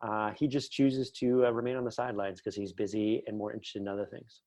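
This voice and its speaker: A man's voice: lowish voice, little pitch movement, fast talker, darkish tone, dry recording. A middle-aged Canadian man chatting casually and enthusiastically as an interview guest, going off on tangents. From Northern Ontario, Canada. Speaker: podcast guest, writer.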